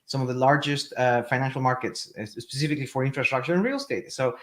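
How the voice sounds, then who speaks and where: Chilean man, halting interview talk. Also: medium pitch. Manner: halting, many restarts and "right?". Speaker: podcast guest, company CEO. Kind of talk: casual interview.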